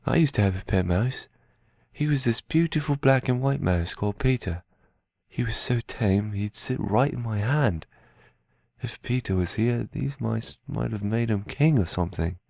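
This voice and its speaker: A man playing a shy, innocent, slow-minded runaway boy in an audio drama, speaking quietly with childlike timidity. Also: lowish voice, vocal fry, dry recording, clean studio sound. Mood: innocent wonder.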